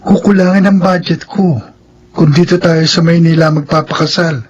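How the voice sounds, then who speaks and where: Guy acting serious young fiance in a radio soap, bit high, proud type, muffled with some noise. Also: steady tone, moderate pace, lightly acted. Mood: earnest, practical. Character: thrifty, proud young groom-to-be of modest means. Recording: dull, noisy radio drama recording with ambience.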